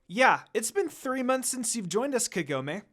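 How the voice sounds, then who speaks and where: Narrator acting little girl, cheerful, eager, excited, raised pitch, storybook. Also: a little slow. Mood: happy, eager. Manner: excited, happy exclaiming.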